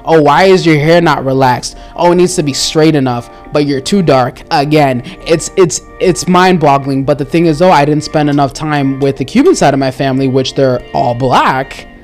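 A woman talking candidly in a casual group discussion, animated and jumping into high exclaimed asides. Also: normal speed, few pauses, faint music behind.

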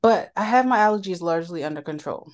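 A woman co-hosting a podcast in a casual chat, talking about her cold, animated and laughing often. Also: lowish voice, quick pace. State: has a cold. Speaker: podcast co-host.

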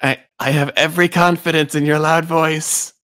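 A man playing a tabletop game, reasoning aloud in a deliberate way. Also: fairly high pitch, level tone, a bit bright, no room sound. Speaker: player. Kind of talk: casual tabletop play.

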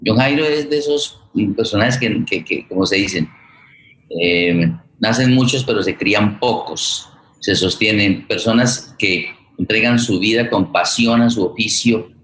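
A Colombian man in middle age speaks as a host in a low-pitched voice. He proceeds informally and haltingly, doubling back on phrases while searching for the next word. The recording has a clearly roomy echo around his voice. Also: fairly bright tone, slight background noise. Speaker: host introducing a guest. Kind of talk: informal spoken introduction on a live forum.

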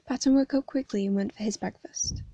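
A young woman, hoarse from hay fever, reading a story aloud and chatting casually between chapters. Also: a little high, fairly quick, warm tone, no echo. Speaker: fan fiction reader.

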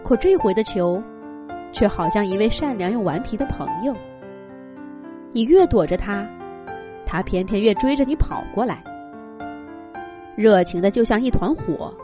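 A woman telling a children's story with gentle, expressive narration. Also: medium pitch, normal speed, pauses often, over music.

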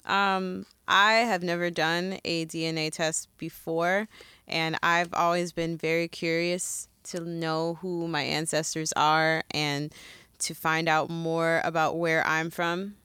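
A woman's voice: sits low, level tone, slow, pushed and bright, smooth, no room sound. A woman co-hosting a podcast in casual chat, speaking in an earnest, open way. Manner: earnest and open, laughs readily. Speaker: podcast co-host.

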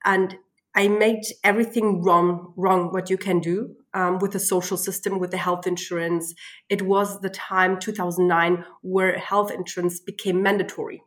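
A woman in her thirties from Spain, speaking as a podcast guest. She talks with many fillers and hesitations and laughs often. Her voice sits a little low. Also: level tone, normal speed. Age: 30s. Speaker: financial planner, guest. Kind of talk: interview guest telling her story.

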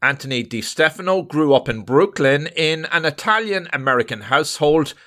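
A man hosting a podcast, reading a scripted introduction in a measured way. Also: on the high side, pushed and bright. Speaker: podcast host.

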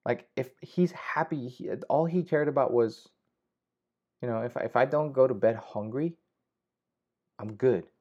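A man's voice: medium pitch, a little slow, long pauses, mellow. A young man hosting a casual solo podcast, rambling with frequent swearing and restarts. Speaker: podcast host. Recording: slightly dull lo-fi audio.

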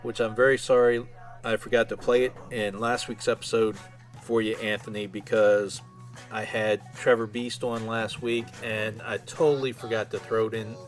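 A man's voice: fairly low pitch, level tone, moderate pace, soft background music. A man hosting a fan podcast solo, chatting in an informal, conversational way. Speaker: podcast host.

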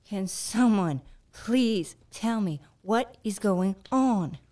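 A woman's voice: medium pitch, expressive, extremely slow, mellow. Woman acting a shaken young frontier survivor. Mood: frightened, confused. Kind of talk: amateur audio drama acting and narration.

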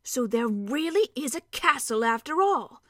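A woman voicing a cheerful, stocky male dwarf with a hearty, emphatic, drawn-out way of talking. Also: high-pitched, sing-song, no echo, clean studio sound, exaggerated voice. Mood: wondering.

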